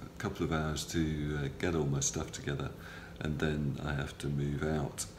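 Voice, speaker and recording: A middle-aged man with a cold, recording a halting, matter-of-fact video diary from a hospital room on a front-facing camera, with many ums and uhs. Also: rumbling low, little pitch movement. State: has a cold, stuffy. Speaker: patient video blogger. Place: small hospital room, indoors. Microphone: phone or camera close up, front-facing. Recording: roomy with faint background hum.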